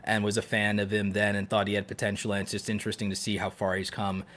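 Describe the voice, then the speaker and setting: Low-voiced man in casual podcast chat. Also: completely flat delivery, fast, fairly bright tone. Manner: effusive, rambling enthusiasm. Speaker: podcast co-host.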